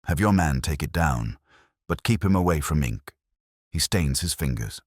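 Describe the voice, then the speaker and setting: A man playing a cool, precise Victorian gentleman detective in an audiobook, probing and solemn. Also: a bass voice, animated, normal speed, dry recording, studio quality. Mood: coolly judging.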